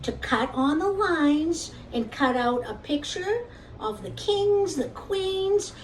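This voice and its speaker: A woman's voice: very high voice, slow. A woman teacher telling a children's puppet story, speaking directly to young listeners in simple phrases. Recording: distant mic with faint background noise.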